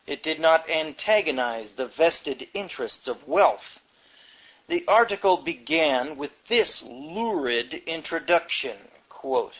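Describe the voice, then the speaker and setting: Man narrating a book, dull lo-fi sound. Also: fairly high pitch. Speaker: audiobook reader. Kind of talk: book narration with wry asides.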